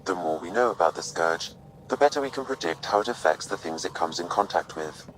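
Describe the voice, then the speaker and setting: A man playing a calm artificial intelligence in a video game, measured, precise and formal. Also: sits low, a little hiss or hum, poor audio. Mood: calm, analytical. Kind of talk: video game cutscene acting.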